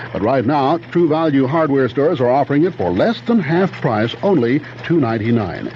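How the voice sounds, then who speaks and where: A man reading a 1970s radio advertisement in a polished, salesmanlike manner. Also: mid-range voice. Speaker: commercial announcer. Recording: dull old radio recording, faint noise.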